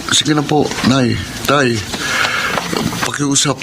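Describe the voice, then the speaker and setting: A man's voice: medium pitch, steady tone, takes it slowly, vocal fry, poor audio. An actor playing a young man in debt from gambling, pleading and evasive in a radio drama.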